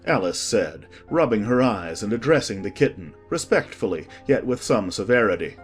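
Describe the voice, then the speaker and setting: A man's voice: on the low side, expressive, moderate pace, slightly rough, faint music behind. A man reading a classic storybook as an audiobook narrator, in a scripted storytelling style.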